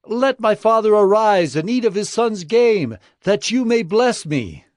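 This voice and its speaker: A man's voice: high voice, lively intonation, a little slow, no room sound, studio quality, pitched above the performer's own voice. A man voicing a wronged, bitter adult son with emotional pleading in a dramatised scripture reading. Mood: eager.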